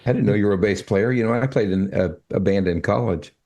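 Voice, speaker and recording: An older man with a low, mellow voice talks casually as a co-host. He stops and restarts often and fills the gaps with ums. His tone stays soft and unhurried. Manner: halting, with many fillers and restarts. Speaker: podcast co-host. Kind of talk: casual chat.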